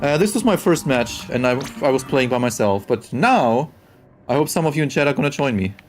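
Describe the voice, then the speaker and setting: A man's voice: mid-range voice, expressive, fairly quick, a little hiss or hum. A young man whose first language is German, chatting casually with viewers while playing a game on a live stream. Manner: chatty, reads chat aloud, shrieks when hit in game. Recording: loud game music behind.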